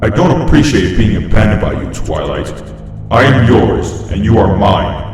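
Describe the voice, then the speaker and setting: A man's voice: lowish voice, very animated, normal speed, a little mellow, soft background music. A man voicing a sinister toy doll, a gleeful, possessive old lady who taunts sweetly in a horror story reading. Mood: possessive taunting. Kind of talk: horror fan fiction reading. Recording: cavernous echoing reverb, faint noise.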